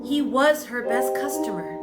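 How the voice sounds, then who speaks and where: A woman narrating a children's story as a storytime host, in a measured, expressive read-aloud manner. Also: on the high side. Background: prominent piano music score.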